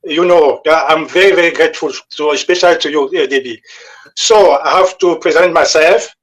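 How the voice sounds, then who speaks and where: A man's voice: a little high, brisk, fairly bright tone, rough recording. A man from Cameroon introducing himself on a video call, polite and hesitant, repeating his thanks. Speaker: guest. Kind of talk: casual self-introduction.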